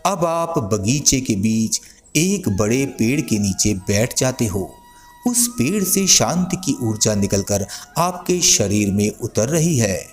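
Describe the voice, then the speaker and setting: A man leads a guided sleep meditation as a scripted narration. His pace is very unhurried, yet his pitch moves in a lively way. He gives soothing, gentle instructions over a music bed.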